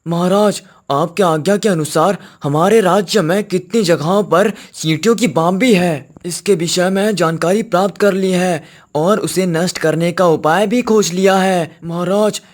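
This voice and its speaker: A man's voice: high voice, lightly acted. A man playing a deferential royal servant who speaks formally and respectfully in a children's cartoon story. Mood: dutiful. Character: deferential male royal servant reporting to his king.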